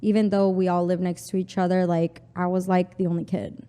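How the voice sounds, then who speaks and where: A woman's voice: fairly low pitch, little pitch movement, fairly quick, mellow, smooth, long reverb tail. A young woman from a Texas border town, whose first language is Spanish, chatting casually as an interview guest with lots of "like" and "you know".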